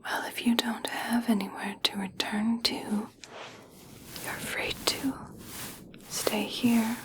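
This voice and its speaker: Woman as a slow-whispering gentle elf princess. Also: on the high side, flat delivery. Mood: gently curious. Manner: soft, slow, soothing whisper. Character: gentle, caring, curious young elf princess. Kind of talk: whispered ASMR personal attention roleplay.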